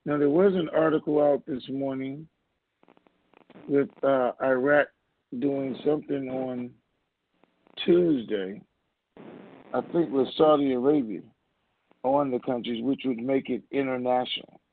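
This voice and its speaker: A man's voice: medium pitch, steady tone, unhurried, long pauses. A man hosting an informal question-and-answer conference call over the telephone. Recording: muffled narrowband phone line.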